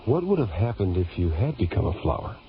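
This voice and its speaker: A man's voice: low-pitched, very animated, fairly quick. An actor playing a curious male crew member who questions an alien in a 1970s radio drama. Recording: dull old radio broadcast under music.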